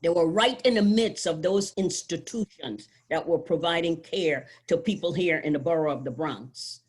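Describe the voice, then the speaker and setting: A woman nursing professor and department chair giving formal opening remarks in a measured, solemn way over a video call. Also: on the low side, darkish tone, husky.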